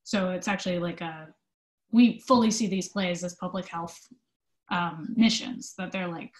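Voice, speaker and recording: A woman's voice: fairly low pitch, steady tone, normal speed. A woman giving conversational interview answers as a theatre company manager, casual in her phrasing.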